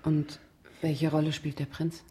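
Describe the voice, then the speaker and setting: An actress playing a jaded, blunt service worker in a radio play, speaking in a sardonic, naturalistic way. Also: female voice, low voice, flat delivery, a little slow, mellow. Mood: guarded. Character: jaded, blunt woman working in premium customer service. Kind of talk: naturalistic radio drama acting.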